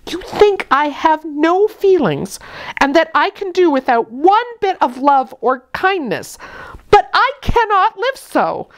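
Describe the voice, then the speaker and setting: A woman's voice: extremely high pitch, very animated, slow pace, voice pushed up, full character voice, rough recording. A woman voicing a defiant young orphan girl in a storybook, with impassioned, emphatic declarations. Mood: anguished outburst. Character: passionate, defiant young orphan girl confronting her aunt.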